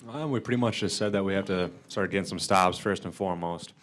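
A young man, a college basketball player, giving brief, low-key answers with some hesitation at a postgame press conference into a table mic. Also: fast talker, a bit bright, slight background noise. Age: young adult. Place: press conference room with sponsor backdrop. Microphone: table mic in front.

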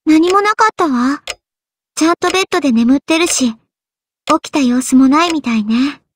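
A woman's voice: sits high, lively intonation, normal speed, a little hiss or hum. An actress playing a small, poised young girl, composed and precocious, in a visual novel. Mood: calm, matter-of-fact. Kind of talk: Japanese visual novel voice acting.

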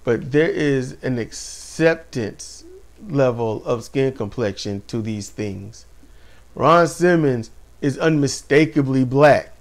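A man co-hosting a casual wrestling talk show, animated and laughing often, speaking to viewers as y'all. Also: mid-range voice, slow. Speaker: podcast co-host. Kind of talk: casual chat about wrestling.

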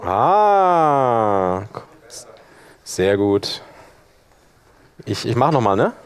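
A man's voice: medium pitch, very animated, very slow, clean tone. A middle-aged man with a mid-range voice presents an informal workshop. He talks conversationally and thinks aloud while demonstrating on a computer. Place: indoor workshop room, seated at a computer.

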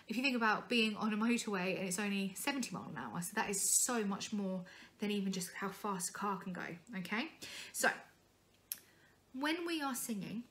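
Chatty British woman, slightly croaky. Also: medium pitch, a bit bright. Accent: British English. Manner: chatty, explains with hand gestures, repeats words for emphasis. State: slightly croaky from a long day of teaching. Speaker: singing and voice teacher. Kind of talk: informal vocal health tutorial to camera. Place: small room indoors, sitting at a keyboard in front of a sofa.

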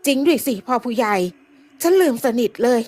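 A woman voicing a rough, cheeky male villager who curses and teases in a fawning way. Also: high-pitched, lively intonation, fairly quick, a little mellow, soft background music, voice pushed up. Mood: teasing fawning.

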